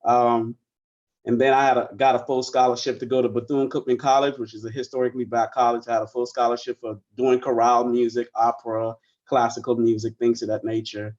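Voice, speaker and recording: A man in his forties who grew up in the Bahamas, speaking as a guest musician in a relaxed, meandering way. His voice sits at an ordinary middle pitch. The sound is dull and lo-fi, as if slightly muffled. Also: fairly quick. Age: 40s. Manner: relaxed, rambling with many fillers. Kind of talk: casual interview chat.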